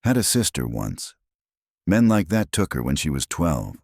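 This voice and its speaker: A man voicing a gruff, steady middle-aged frontier marshal in a measured, low drawl. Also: dry recording, studio quality, exaggerated voice.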